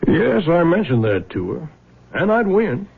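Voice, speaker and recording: A man's voice: a little high, sing-song, unhurried, creaky, breathy edge, exaggerated voice. An American actor playing a crafty, stubborn middle-aged father in a radio drama, speaking in a dry, indignant manner. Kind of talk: 1970s radio drama acting. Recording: lo-fi muffled vintage radio recording.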